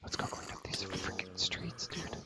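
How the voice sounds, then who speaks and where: A man's voice: deep voice, animated, moderate pace. A young man whispering ASMR commentary over a game in a rambling, enthusiastic, slangy way, often repeating his phrases. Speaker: ASMR creator showing a game world.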